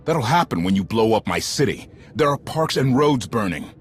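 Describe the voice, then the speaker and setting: A man's voice: mid-range voice, big pitch swings, moderate pace, faint music behind, close to an everyday voice. A man playing a principled, indignant rebel in a video game, earnest and accusing. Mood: angry, accusing. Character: indignant, principled male rebel challenging his leader. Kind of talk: video game cutscene acting.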